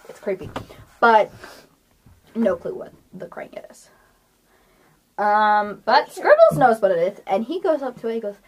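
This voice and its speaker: A woman's voice: a little high, sing-song, unhurried, pauses often. A woman chatting casually as a podcast host and game master, with a giggly, playful manner. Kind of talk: casual chat and tabletop game narrating.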